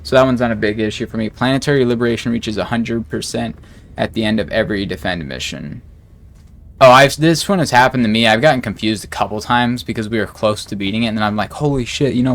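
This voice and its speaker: A man commenting casually on game patch notes, reading each note slowly and then reacting in a rambling way, with lots of filler words and swearing. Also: lowish voice, vocal fry. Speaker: gaming streamer.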